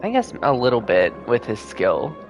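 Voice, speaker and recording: A man giving casual gameplay commentary as a streamer, chatty and thinking out loud. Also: medium pitch, huge pitch swings, normal speed, soft background music, low-quality recording. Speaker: game streamer.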